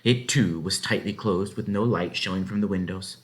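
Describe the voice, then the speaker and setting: A man reading a children's story aloud as an expressive narrator. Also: deep voice, normal speed.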